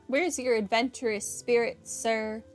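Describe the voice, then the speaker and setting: A woman's voice: fairly high pitch, fairly even intonation, measured pace, faint music behind. A woman giving casual, slangy, joking commentary as she plays a video game. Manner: jokey, slangy. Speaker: gaming streamer.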